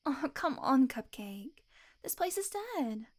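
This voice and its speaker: A woman's voice: high-pitched, very animated, slow pace, exaggerated voice. A woman playing a flirty, sarcastic female vampire passenger in an audio roleplay, with a teasing, wry manner. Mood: playful, teasing. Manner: teasing, wry, casual swearing.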